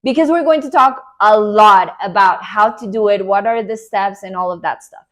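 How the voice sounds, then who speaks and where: A woman's voice: medium pitch, moderate pace, a bit bright. A young woman from Colombia with a Colombian Spanish accent, Spanish her first language, coaching viewers on online careers in an earnest, encouraging talk to camera. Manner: earnest, encouraging, emphatic with repeated promises.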